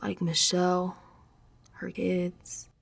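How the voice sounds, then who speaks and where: An actress playing a troubled, defiant young American woman who is unravelling, confiding her story in a dramatic radio monologue that swings from hushed to emphatic. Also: lowish voice, monotone, slow pace, gravelly, full character voice. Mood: bewildered, distraught. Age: young adult.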